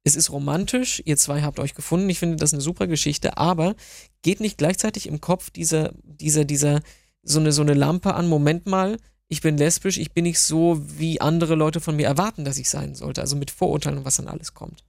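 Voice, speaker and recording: Gravelly-voiced radio talk host. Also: mid-range voice, steady tone, fairly quick, a little mellow, no echo, studio quality. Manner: friendly, inquisitive, some stammered repeats. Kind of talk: radio interview hosting. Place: radio studio.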